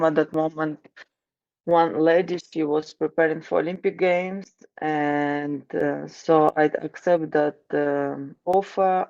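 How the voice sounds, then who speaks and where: A woman in her thirties with a Ukrainian accent, talking in an interview. Her voice is gravelly and she speaks slowly in a flat, even tone. She stops and restarts often, and the recording sounds dull. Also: sits low. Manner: halting, with restarts and fillers. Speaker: guest, wrestling coach. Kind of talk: casual interview answers.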